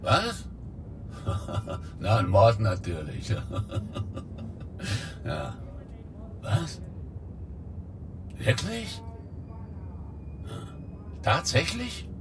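Radio drama: sly, suspicious beat cop, extremely animated pitch, sardonic, clear traffic noise behind. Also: male voice, heavily acted. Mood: jovial, surprised. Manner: sardonic, drawn-out questioning. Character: chatty, suspicious neighbourhood policeman with sly humour. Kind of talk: radio play acting. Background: outdoor traffic rumble.

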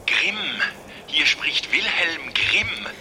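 A very bright, forceful portrayal of a jolly, centuries-old male fairy-tale collector for a children's radio play. His pitch runs high for a man and leaps about theatrically. Faint ambience lies behind him. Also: very slow, faint music behind, full character voice. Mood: amused, breezy. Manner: animated, chuckling, theatrical.

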